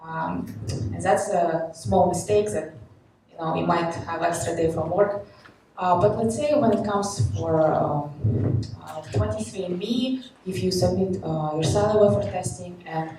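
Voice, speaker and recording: A woman's voice: on the low side, measured pace, mellow, slight background noise. Woman, young adult, from Kazakhstan, explanatory presenter, filler-heavy, distant, echoey hall. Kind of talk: conference talk.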